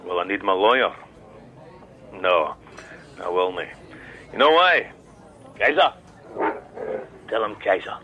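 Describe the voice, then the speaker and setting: An actor playing a menacing, swaggering Scottish crime boss in a radio drama, with a taunting, commanding manner. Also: male voice, low voice, big pitch swings, slow pace, pauses often, lo-fi sound, a crowd in the background, exaggerated voice. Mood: threatening. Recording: noticeable background noise.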